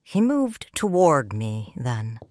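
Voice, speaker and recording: A woman narrating a story in first person, calm and reflective. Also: extremely low pitch, takes it slowly, no room sound, studio quality.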